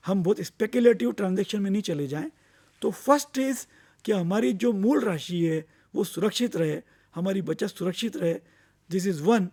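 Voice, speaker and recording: Male finance educator, Indian accent, high-set voice with creak, deliberate explanatory delivery that repeats key points. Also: warm tone. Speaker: finance expert giving investor education. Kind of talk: explanatory lecture mixing Hindi and English.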